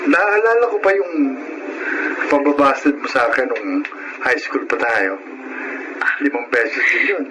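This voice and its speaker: An actor as a lively, friendly young businessman. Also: medium pitch, unhurried, long pauses, noisy background, close to an everyday voice. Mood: genial, reminiscing. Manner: genial, hesitant at times. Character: friendly young restaurant owner, eager old male classmate. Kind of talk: Filipino radio drama acting.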